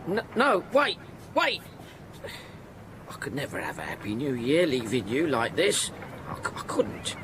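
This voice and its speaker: A man's voice: mid-range voice, huge pitch swings, unhurried, long pauses, background noise. An actor playing a kindly, poor old ticket porter in a radio drama, stammering and repeating himself as he fusses. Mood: eager, coaxing. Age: older.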